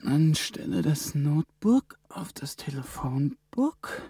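An actor playing an ambitious young man on the run in a radio drama, muttering to himself in breathy fragments. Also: a little high, very animated, takes it slowly, warm tone, dry recording, clean studio sound, full character voice. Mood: tense, wry. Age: young adult. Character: ambitious young German intern on the run, panicked, improvising. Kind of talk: radio drama acting with inner monologue.

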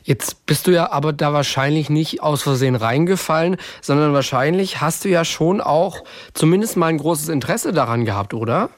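A man's voice: fairly high pitch, normal speed, few pauses, no room sound. A man, recorded dry and close. He hosts a podcast in a casual, conversational style, speaking informally and colloquially. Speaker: podcast host.

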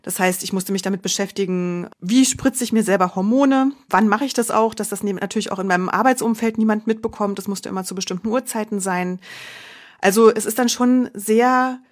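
A woman's voice: medium pitch, fairly quick. A German woman from Berlin in her forties, speaking candidly and reflectively as an interview guest, often restarting her sentences. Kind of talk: personal interview.

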